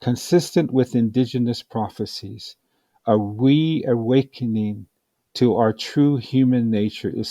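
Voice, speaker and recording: A man with a dark, mellow voice narrates a scripted advocacy text. His delivery is very slow and deliberate, with a solemn, measured weight. The sound is dry and close, free of room reflections. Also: medium pitch, no room sound. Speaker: audiobook reader.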